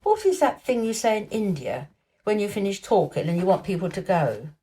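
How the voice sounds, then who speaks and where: A woman's voice: mid-range voice, very animated, a little fast, warm tone, natural voice. A woman voicing a lordly young invalid boy in a storybook, self-important and precise, with a dreamy streak. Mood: lordly, commanding.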